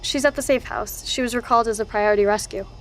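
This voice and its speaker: Audio drama: actress for a knowing female archivist, wry and factual, with a fairly high voice. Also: fast talker, slight background noise, close to an everyday voice. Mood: pointed, wry. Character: informed, wry woman researcher and archivist.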